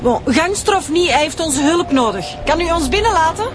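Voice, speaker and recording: A woman playing a brisk, practical ambulance worker. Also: very high voice, animated. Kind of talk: radio drama acting. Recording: music under dialogue.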